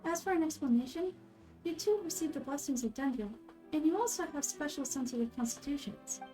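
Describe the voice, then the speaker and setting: Casting: woman to play a small child goddess for a game, high soft voice, gentle, wise, calmly explanatory. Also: a little fast, faint music behind, rough recording. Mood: calm, knowing. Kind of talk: video game character dubbing.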